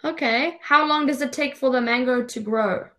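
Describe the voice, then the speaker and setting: A woman's voice: high voice, normal speed, sharp tone. A young woman with a South African accent, an online English tutor asking simple questions in a casual video call. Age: young adult. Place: indoors in a room, on a video call. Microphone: webcam video call.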